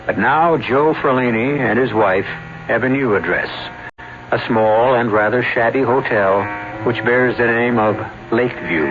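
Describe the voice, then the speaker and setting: A man hosting and narrating an old radio mystery show in a measured, deliberate, suspenseful way. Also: mid-range voice, background noise. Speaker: radio drama host and narrator. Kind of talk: scripted narration. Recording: dull old radio broadcast over music.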